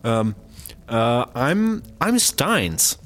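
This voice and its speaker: A man's voice: mid-range voice, big pitch swings, drawn-out words, a bit bright, heavily acted. A man playing a nervous, polite, naive guy in a comedy audio drama, hesitant and apologetic. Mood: nervous bluffing.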